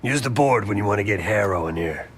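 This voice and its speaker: An actor playing a gruff, blunt, short-fused male veteran operative in a cinematic video game. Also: on the low side, lively intonation, fast, a little hiss or hum, lightly acted. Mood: businesslike.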